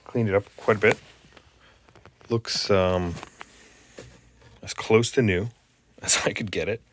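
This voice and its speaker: Very deep, slow-talking man. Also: fairly even intonation. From Canada. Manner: rambling, halting, lots of fillers. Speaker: hobbyist restoring a tape machine. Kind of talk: casual show-and-tell.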